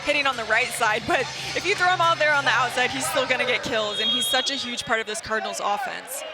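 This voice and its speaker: A woman's voice: on the high side, fast talker. A woman giving conversational color commentary on a volleyball broadcast from an indoor arena. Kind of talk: conversational sports analysis. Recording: loud crowd and arena noise. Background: crowd, bouncing balls, music.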